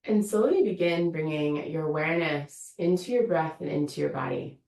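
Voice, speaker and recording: Woman, meditation teacher, slow soothing guidance, low gravelly voice, distant roomy recording. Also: darkish tone. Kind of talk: guided meditation. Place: room of an online yoga studio.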